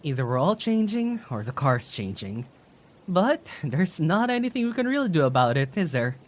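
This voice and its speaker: Casting: pragmatic, weary road traveler, low-pitched woman, lively intonation, resigned, natural audio drama delivery. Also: moderate pace.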